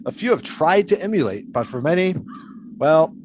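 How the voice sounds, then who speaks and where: An eccentric, philosophical pole sitter; teasing, dreamy, lively melody. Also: male voice, on the high side, moderate pace, background noise. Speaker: pole sitter Shipwreck Kelly. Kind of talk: audio drama acting.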